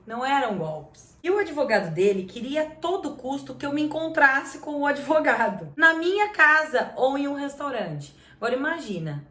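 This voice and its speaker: A woman's voice: fairly high pitch, fairly bright tone. Woman, Brazilian accent, host telling a story to the camera, indignant, addresses the audience, roomy recording. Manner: indignant, talks straight to the audience. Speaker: channel host. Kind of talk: storytelling to viewers, reading out messages. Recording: roomy echo.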